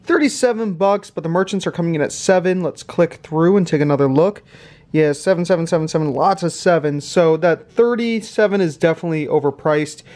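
A man's voice: fairly high pitch, a little fast, a little mellow. A man doing casual vlog commentary as a reseller, thinking aloud as he goes. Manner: thinks aloud while tallying numbers. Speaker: reseller host of a thrifting channel. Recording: clear background noise, clicks.

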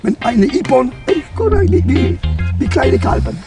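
A man's voice: extremely high pitch, very animated, a little slow, loud music behind the voice. An older man giving an informal outdoor tour in choppy, broken, repetitive phrases. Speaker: tour guide. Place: outdoors at a bunker site.

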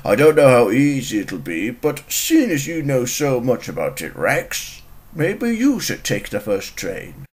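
A man voicing a small railway controller from a children's cartoon, a dry and chiding gentleman. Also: mid-range voice, huge pitch swings, measured pace.

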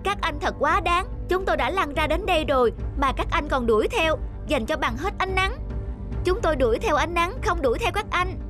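A woman voicing a squabbling, indignant talking household object in an animated moral tale. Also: very high voice, a little fast. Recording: music behind the voice.

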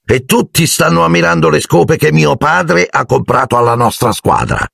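A man's voice: a little high, very animated, normal speed, gravelly, fairly bright tone, husky, no echo, clean studio sound, pitched above the performer's own voice, full character voice. A man voicing a spoiled rich teenage bully with a smug, drawling sneer.

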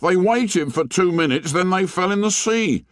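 Storybook schoolboy outsider: very high pitch, whining indignation, swooping delivery. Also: male voice, expressive, slow, creaky, a bit bright, dry recording, studio quality, exaggerated voice. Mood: aggrieved protest. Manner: shrill scolding tirade in ungrammatical speech.

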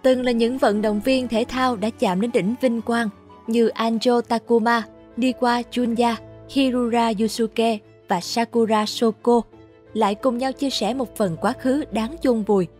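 A woman's voice: on the high side, fairly even intonation, unhurried, a little mellow, faint music behind. A woman reading a scripted, dramatic introduction to a book as its announcer.

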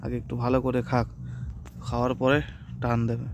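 A man's voice: medium pitch, monotone, fairly quick, creaky. A man in his thirties hosting a fishing vlog outdoors by a pond, chatting casually to his viewers. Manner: chatty, addresses viewers as friends. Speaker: fishing channel host. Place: open farm fields by a pond, outdoors. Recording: faint outdoor noise.

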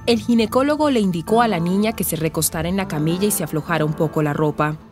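A woman's voice: fairly low pitch, fast, music in the background. A woman giving scripted narration for a radio drama.

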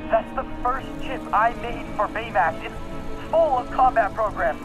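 A helpful teenage tech whiz in a video game. His voice is high and youthful, with music playing clearly behind him. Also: measured pace. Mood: uneasy. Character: bright, helpful teenage boy tech genius. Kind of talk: video game cutscene acting.

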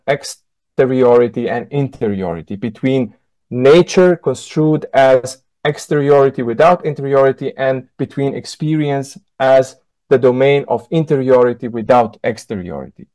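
A man's voice: mid-range voice, a little mellow, no room sound. Dry-voiced Slovene man lecturing. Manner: measured, with frequent self-corrections and fillers. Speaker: keynote lecturer. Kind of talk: academic lecture. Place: indoor room, on a video call.

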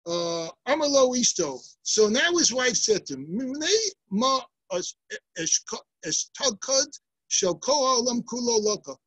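An older man teaching a daily Talmud class, reading passages and explaining them as lively stories with asides, recorded on a laptop webcam in a study room. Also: extremely high pitch, husky, no echo, poor audio. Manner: lively storytelling with big hand gestures and asides. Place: indoor study room lined with bookshelves. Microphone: laptop webcam, seated close to the screen.

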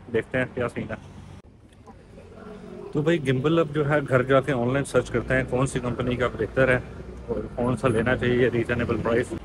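A man in his thirties with a Pakistani Urdu accent talks casually into a phone held out in front of him while walking a busy street. His voice sits at an ordinary middle pitch and he explains things as he goes. Clear traffic noise runs underneath the whole outdoor recording. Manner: chatty, explains as he walks. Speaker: vlogger. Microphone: phone held at arm's length.

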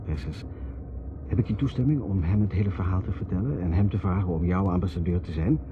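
Very deep-voiced earnest man played by an actor. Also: quick pace, natural voice. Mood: urgent, persuasive. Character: Ted, earnest persuasive middle-class American man. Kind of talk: radio drama acting. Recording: noisy, muffled old radio drama recording.

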